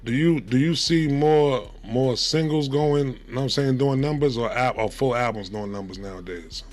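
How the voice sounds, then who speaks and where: A man's voice: medium pitch, normal speed, a little mellow. A man in his forties interviewing on a podcast, pressing his point insistently and repeating himself. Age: 40s.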